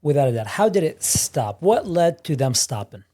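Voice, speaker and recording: A man hosting a conversational interview, restating points deliberately and asking clarifying questions. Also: a little high, sing-song, warm tone. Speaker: interview host.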